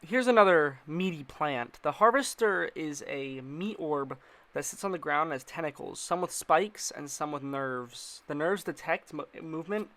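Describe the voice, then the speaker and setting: A man's voice: mid-range voice, fairly bright tone. A man narrating a casual explainer video in an informal, slangy way, with playful asides.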